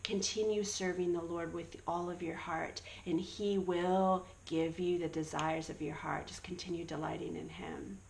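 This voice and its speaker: A woman's voice: lowish voice, level tone, moderate pace, vocal fry, hardly any pauses, slight background noise, rough recording. A woman in her early thirties sharing her personal faith testimony in an earnest, encouraging way. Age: 30s. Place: Australia.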